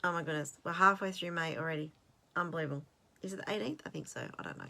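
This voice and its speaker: A woman's voice: on the low side, quick pace, vocal fry, pushed and bright. An Australian woman in her late fifties hosting her own channel in casual chat, rambling and self-deprecating, with fillers and restarts. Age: 50s. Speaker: host.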